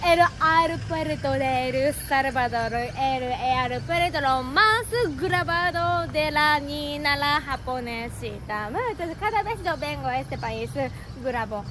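Japanese-native woman, high-voiced travel vlogger, slow hesitant Spanish over loud airport noise. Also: lively intonation. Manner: halting learner's Spanish, frequent fillers. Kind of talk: casual travel vlog narration. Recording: noisy airport background.

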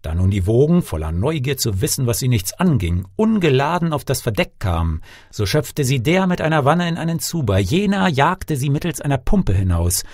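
A male storyteller reads a fairy tale with theatrical expression. His pitch moves in lively swings, and the recording is close and dry. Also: normal speed, few pauses, no room sound. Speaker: fairy-tale narrator, actor. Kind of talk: scripted storybook narration.

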